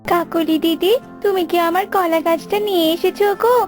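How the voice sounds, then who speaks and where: A woman acts out a small female bird from a children's cartoon. The voice is extremely high. She pleads and insists as someone wronged, over a clear music track. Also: steady tone, moderate pace. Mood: worried. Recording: music behind the voice.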